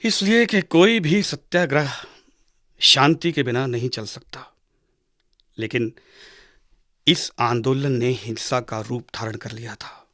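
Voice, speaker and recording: A man playing a patient father who teaches his son in a measured, didactic way, with dramatic pauses, in a radio drama. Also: mid-range voice, fairly bright tone, no echo, studio quality. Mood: earnest. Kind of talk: Hindi radio drama acting.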